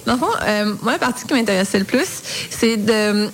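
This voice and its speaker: A woman's voice: mid-range voice, fairly quick, a little hiss or hum. A woman interviewing two guests, asking long, thoughtful questions.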